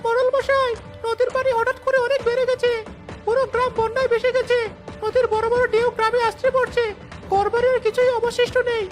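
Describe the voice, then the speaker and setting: A very high, shrill cartoon villager rattles along frantically over music. He sounds alarmed, like a bearer of dreadful news. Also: little pitch movement, a little fast. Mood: panicked. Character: panicked male villager bringing disaster news. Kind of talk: animated moral story voice acting.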